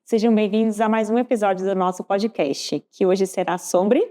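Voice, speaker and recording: A woman's voice: medium pitch, fairly even intonation, moderate pace, warm tone. A Brazilian woman co-hosting a podcast, explaining things conversationally as a health professional and often adding small check-in tags. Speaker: podcast co-host and pediatric dentistry professional.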